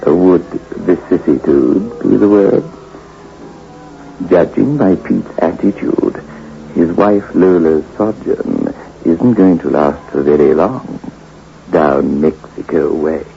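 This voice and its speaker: A man's voice: deep voice, slow pace, gravelly, slight background noise. A man hosting an old radio horror show, talking slyly and wryly about the story. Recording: dull old radio transcription.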